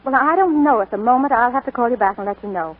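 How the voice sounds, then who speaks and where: An actress playing a flustered, wry young bride-to-be in a 1940s radio drama. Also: female voice, a little high, animated, fast talker, husky. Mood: polite, brisk. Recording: tinny 1942 radio broadcast, faint music.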